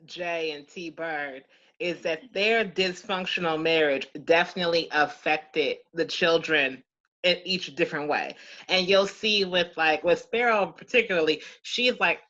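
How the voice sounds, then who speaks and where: A woman's voice: lowish voice, measured pace, pushed and bright, muffled recording. A woman author casually discussing her book, telling it in an emphatic, playful, slangy way.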